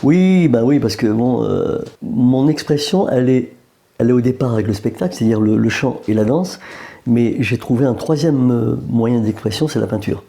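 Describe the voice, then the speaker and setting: A man's voice: medium pitch, lively intonation, normal speed, vocal fry, dark tone. A man from Algeria, a painter, chatting in an interview in a gallery, with many hesitations and joking asides. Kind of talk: casual interview. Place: art gallery room.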